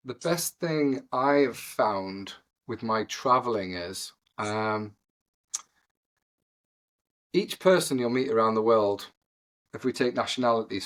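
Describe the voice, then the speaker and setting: A man in his forties with a Geordie accent, a podcast guest who rambles with lots of pauses and "you know". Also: mid-range voice, fairly bright tone. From North East England. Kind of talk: casual podcast conversation.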